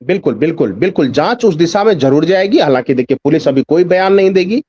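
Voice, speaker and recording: A man's voice: a little high, lively intonation, fast talker, mellow, husky. A man speaking as a journalist guest in a news discussion, giving emphatic political commentary with repeated phrases and rhetorical questions.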